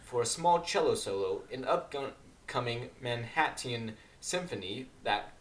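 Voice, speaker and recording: A genteel pony mare musician, acted by a man, polite and reserved. The pitch moves expressively in a fan storybook reading. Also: lively intonation, unhurried, a little hiss or hum, natural voice. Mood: interested. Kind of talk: amateur dramatic fan fiction reading.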